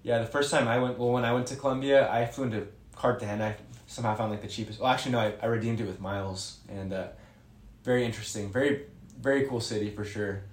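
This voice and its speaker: A man's voice: medium pitch, fairly even intonation, quick pace. A young man who is a guest on a podcast, chatting casually in a rambling way and correcting himself. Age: young adult. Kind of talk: casual interview chat. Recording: echoey room.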